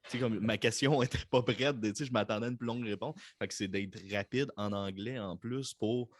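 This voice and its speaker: A man with a low voice and a Québécois accent hosts a podcast, recorded dry and close. He chats in an easygoing way, plugging upcoming episodes. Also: fairly even intonation, a little fast, no echo. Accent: Québécois French. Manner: easygoing, laughs while talking. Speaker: podcast host. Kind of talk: casual chat.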